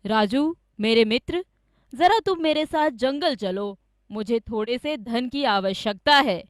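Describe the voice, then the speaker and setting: Woman playing a high-voiced, scheming village man. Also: lively intonation, unhurried, no echo, clean studio sound. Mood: smooth, persuasive. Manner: insistent, dramatic, accusing. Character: scheming greedy village man, falsely accusing his friend.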